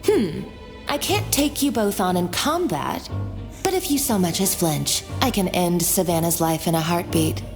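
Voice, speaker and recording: A woman's voice: on the low side, lively intonation, moderate pace. A woman playing a ruthless, gloating young female villain in a video game, with a mocking, theatrical, condescending manner. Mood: threatening. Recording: game music behind.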